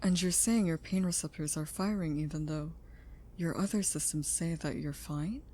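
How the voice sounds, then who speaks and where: A woman playing a caring, protective scientist in an audio roleplay, speaking gently and earnestly in a slightly husky voice. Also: low-pitched, moderate pace. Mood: concerned. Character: caring female scientist, protective creator of a sentient robot.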